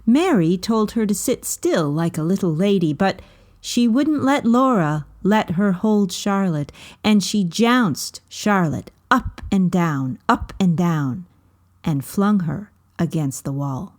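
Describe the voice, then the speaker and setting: A woman narrates a storybook at an unhurried pace. Her voice sits at a middling pitch, and she sets out each phrase deliberately, pausing often between them. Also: lively intonation, darkish tone. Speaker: volunteer coordinator reading a story aloud. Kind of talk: storybook reading, scripted narration.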